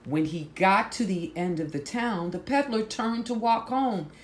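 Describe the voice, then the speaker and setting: A woman reading a picture book aloud to children, phrasing deliberately and stressing words for drama. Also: medium pitch, expressive, slight background noise. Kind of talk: slow, expressive picture-book read-aloud.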